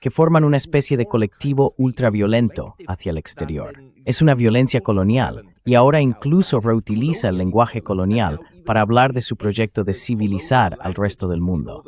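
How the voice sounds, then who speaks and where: A man's voice: on the low side, expressive, normal speed, hardly any pauses, a little mellow. A man voicing an interviewer's questions in a translated, dubbed voice-over.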